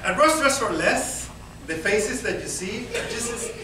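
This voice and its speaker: A young Venezuelan man with a Spanish accent works a comedy crowd, self-deprecating and quick to laugh at his own lines. His voice sits high for a man. It comes from far off in a very echoey club, over heavy room noise. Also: animated. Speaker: stand-up comedian. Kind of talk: stand-up comedy set.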